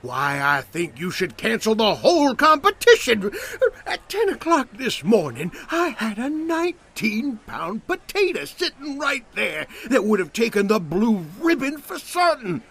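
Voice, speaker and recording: A high, raspy, blustering farmer. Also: male voice, wildly swooping pitch, unhurried, few pauses, fairly bright tone, full character voice. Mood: outraged, accusing. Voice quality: raspy, creaky. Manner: blustering, accusatory. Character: indignant, accusing older male farmer with a grudge. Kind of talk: comic audio drama acting.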